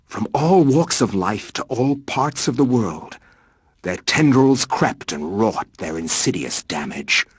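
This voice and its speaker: An actor playing a learned chronicler, narrating a horror game in a grave, measured and literary manner. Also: male voice, on the low side, big pitch swings, husky, no room sound, heavily acted.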